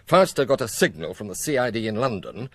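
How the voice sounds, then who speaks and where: A man's voice: on the low side, very animated, a little fast, no echo. An actor playing a senior police chief in a radio drama, formal in his wording and dryly sardonic.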